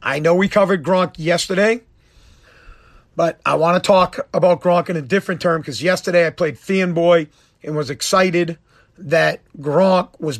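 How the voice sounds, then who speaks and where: A middle-aged man with a hoarse, worn-out voice, rambling bluntly as he hosts his podcast. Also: sits high, a bit bright. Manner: rambling, blunt, self-deprecating. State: hoarse, lost voice. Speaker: podcast host. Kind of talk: casual solo podcast talk.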